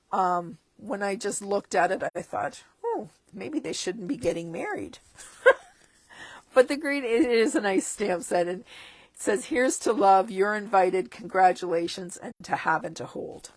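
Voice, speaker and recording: Slow-talking crafter woman losing her voice. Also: mid-range voice, no echo. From Canada. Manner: chatty, chuckling asides. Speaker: stamping demonstrator. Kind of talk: casual catalog walkthrough. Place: tabletop craft desk indoors.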